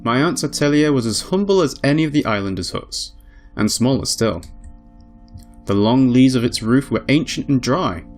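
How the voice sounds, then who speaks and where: A man reading a visual novel aloud as a let's play host, in an expressive storybook style with casual asides. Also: mid-range voice, moderate pace, soft background music. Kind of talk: dramatic read-aloud narration with casual asides.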